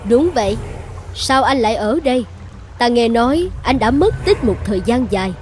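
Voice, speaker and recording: An imperious volcano goddess played by a woman with a high voice. She sounds commanding and formal, a cartoon fairy-tale island guardian, with faint music behind her. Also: lively intonation, moderate pace, a little mellow, close to an everyday voice. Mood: stern, surprised. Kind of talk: animated fairy tale dubbing.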